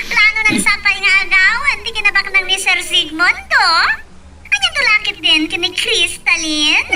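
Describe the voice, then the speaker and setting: A performer voicing a shrill, mocking young schemer in an audio drama, with drawn-out, theatrical wailing delivery. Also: lively intonation, distant mic, heavily acted. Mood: mocking, whiny. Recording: very noisy, with clicks.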